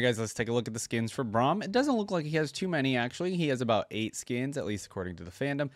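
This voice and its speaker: A man's voice: medium pitch, dry recording. A man giving casual reaction commentary as a host, thinking aloud in short, informal remarks.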